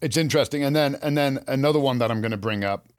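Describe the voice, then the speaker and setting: A man from England chats as co-host of a gardening show. His voice is medium in pitch and recorded dry and close. He is opinionated and casual, acting out little quotes as he tells stories. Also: fast, dry recording.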